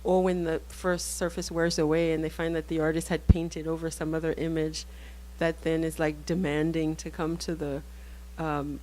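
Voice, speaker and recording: A woman with a low, level voice and Haitian Creole as her first language talks about writing on a discussion panel. She thinks aloud, breaking off and restarting her sentences as she searches for words. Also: flat delivery, darkish tone, poor audio. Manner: thoughtful, halting, many restarts. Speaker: author.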